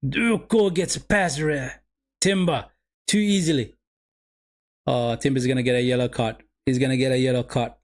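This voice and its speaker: A man's voice: medium pitch, fairly even intonation, moderate pace. A man whose first language is Somali, running a live football watch-along and calling the game for his viewers, often repeating his phrases. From Sweden.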